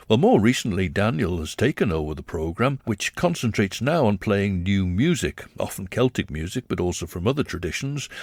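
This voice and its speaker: A man narrating a scripted radio documentary, measured and explanatory. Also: deep voice, no echo.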